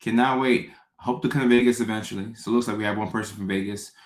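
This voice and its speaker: A man's voice: on the low side, fairly even intonation, fast. A man from Ghana, a comedian, chatting casually with live-stream viewers, greeting them by name and often repeating himself. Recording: echoey room.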